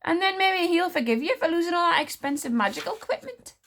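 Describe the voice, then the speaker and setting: A woman's voice: very high-pitched, expressive, quick pace, a bit bright, pitched above the performer's own voice, full character voice. A woman voicing a stern, proud warrior queen who speaks in an icy, grim, clipped way. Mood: commanding. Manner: cold, clipped, commanding.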